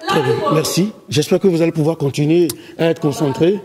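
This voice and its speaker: A man's voice: a little high, fairly quick, a crowd in the background. A man interviewing cast members at a rehearsal, leading and finishing their sentences. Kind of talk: backstage interview. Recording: music playing behind.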